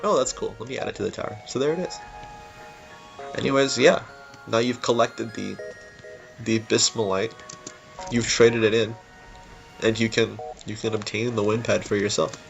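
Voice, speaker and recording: A man's voice: on the low side, fairly even intonation, normal speed, creaky, long pauses, lo-fi sound. A man giving informal, rambling, unscripted commentary on a game level he built. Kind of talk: casual unscripted gameplay commentary. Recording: music behind the voice. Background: game music.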